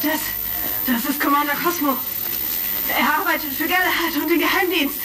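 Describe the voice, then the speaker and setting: An actress as a high-pitched, imperious senator. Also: female voice, moderate pace, natural voice. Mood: alarmed. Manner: exclamatory, barking orders. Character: shrill, imperious, panicky treacherous senator. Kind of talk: retro sci-fi radio play acting. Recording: crackly, distant, music underneath.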